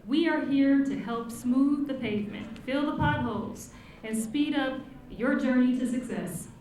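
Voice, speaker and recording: A woman delivers a formal, scripted address, her voice sitting a bit high. The sound rings through a large hall with strong echo. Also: unhurried, darkish tone, a little hiss or hum. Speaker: city commissioner introducing speaker. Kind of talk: prepared speech. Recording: echoey hall.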